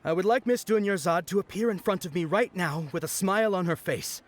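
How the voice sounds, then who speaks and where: A man playing an earnest, bashful young festival worker in a video game, with a hesitant, expressive delivery. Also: high voice, fairly quick, natural voice. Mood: bashful, devoted. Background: music.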